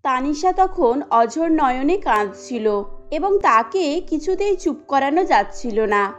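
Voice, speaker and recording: A woman's voice: high-pitched, expressive, slow pace, over music. A woman narrating a cartoon story as a scripted storyteller.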